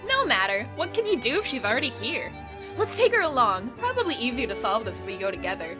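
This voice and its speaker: A domineering, energetic teenage girl who gives orders in a high, lively voice, acted by a woman. Her lines swing up with emphasis. A music bed sits under the fan audio drama. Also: quick pace. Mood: bossy. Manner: commanding, emphatic. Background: background music.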